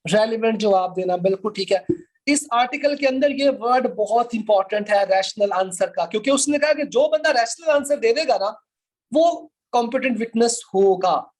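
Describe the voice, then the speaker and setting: A man's voice: extremely high pitch, lively intonation, moderate pace, darkish tone, rough recording. A man with a Pakistani accent teaching as a law lecturer, explaining interactively with questions and repetition.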